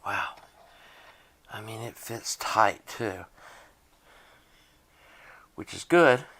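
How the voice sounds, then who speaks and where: A man's voice: fairly low pitch, expressive, extremely slow, gravelly, sharp tone, a little hiss or hum. A man giving a casual, unscripted narration of a repair, thinking aloud in a plainspoken way as he works. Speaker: hobbyist demonstrating a tape deck repair.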